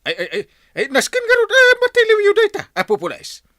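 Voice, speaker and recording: An actor playing a flustered, excitable village captain in a radio comedy-drama, stammering and exclaiming. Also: male voice, extremely high pitch, brisk, no room sound, studio quality, heavily acted.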